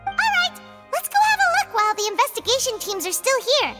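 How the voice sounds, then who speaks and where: Game fairy sidekick: childlike, very high squeak, wildly animated, proud and excitable, over music. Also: female voice, fast. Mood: chirpy. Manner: refers to herself by name, exclaiming. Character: small, excitable, childlike female fairy companion, cheeky and proud. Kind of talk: animated video game voice acting.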